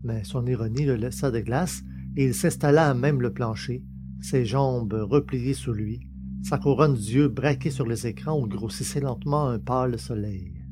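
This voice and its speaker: A man's voice: medium pitch, normal speed. A man reading a science-fiction novel as a scripted, dramatic audiobook narration. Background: music.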